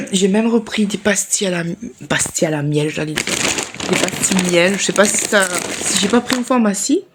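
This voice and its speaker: A woman with a sore throat, chatting casually to camera in a rambling vlog with many restarts. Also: on the low side. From Réunion. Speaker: vlogger.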